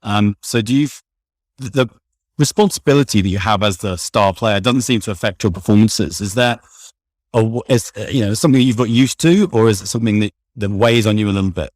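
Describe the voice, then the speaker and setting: A male interviewer with a somewhat low voice asks his guest questions in a measured, conversational way. He sometimes stalls with "um" or restarts a question. The recording is dry, with no room sound. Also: a little mellow, no room sound. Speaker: podcast host, interviewer.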